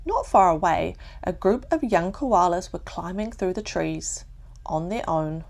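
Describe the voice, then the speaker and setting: A woman with a New Zealand accent tells a children's bedtime story. Her delivery is slow and gentle, with lilting intonation, careful pauses and a little creak in the voice. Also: fairly low pitch, animated. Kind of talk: calm bedtime story narration.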